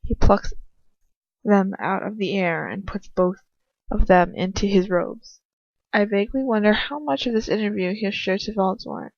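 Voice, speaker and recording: A woman narrates a story in the first person with plenty of feeling. Her delivery is a little halting, and the dry home recording sounds dull and lo-fi. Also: medium pitch, vocal fry, darkish tone, no echo. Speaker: amateur fan fiction reader.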